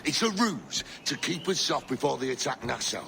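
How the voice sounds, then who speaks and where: An actor voicing an angry, suspicious, foul-mouthed pirate, agitated and insistent, in a video game. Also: male voice, medium pitch, big pitch swings, moderate pace, gravelly, background noise. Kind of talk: video game cutscene acting. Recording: loud music behind the voice.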